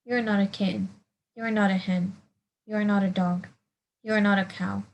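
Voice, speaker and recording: A woman's voice: medium pitch, fairly even intonation, a little fast, natural voice. A woman voicing an innocent baby bird in a storybook, eager for its mother, with a simple, earnest way of speaking. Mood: sure. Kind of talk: storybook read-aloud.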